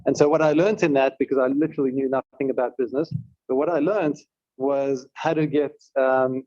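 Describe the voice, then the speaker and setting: A man with a South African accent speaks at mid pitch, with a mellow, dark tone. He talks in an easygoing way with many fillers and restarts. The sound is dry and a bit muffled, like a dull event stream. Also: steady tone, a little fast, no room sound. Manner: conversational, with frequent restarts and fillers. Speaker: guest speaker, tech CEO. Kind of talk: speech at a community event.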